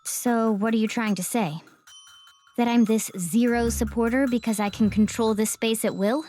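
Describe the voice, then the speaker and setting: A woman's voice: on the high side, steady tone, normal speed, darkish tone, lightly acted. A woman playing a composed, condescending game guide who is secretly a villain, in an anime game dub. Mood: cool, challenging. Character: game guide girl revealed as smug, scheming villain. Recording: dramatic background music.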